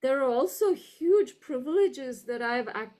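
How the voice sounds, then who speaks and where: High-voiced woman with a posh Indian accent. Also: animated, darkish tone. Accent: educated upper-class Indian English. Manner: articulate, deliberate, emphatic, often checks with "right?". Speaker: guest, university professor. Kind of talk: reflective conversational interview.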